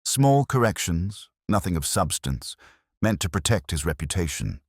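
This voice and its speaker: Really low male voice narrating a moody detective tale, reflective, pitch moves around a lot, dry close sound. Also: very animated, moderate pace, dry recording. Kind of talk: scripted first-person literary mystery narration.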